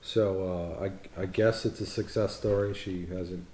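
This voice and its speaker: A man's voice: low voice, steady tone, a little slow, dark tone, reverberant, slight background noise. A man in his fifties from the United States, co-hosting a casual vlog and chatting in a rambling, teasing way with frequent restarts. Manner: rambling, self-correcting, teasing. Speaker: vlog co-host. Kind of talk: casual chat.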